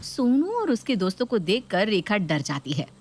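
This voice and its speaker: A woman's voice: medium pitch, big pitch swings, normal speed, a little mellow, a little hiss or hum. A woman narrating a scripted family story.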